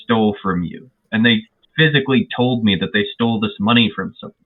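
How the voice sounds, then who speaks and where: A man's voice: lowish voice, a little fast. A man playing a tabletop game, tentatively narrating his character in casual talk. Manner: hesitant, tentative. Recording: phone-like thin audio, faint music. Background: faint music.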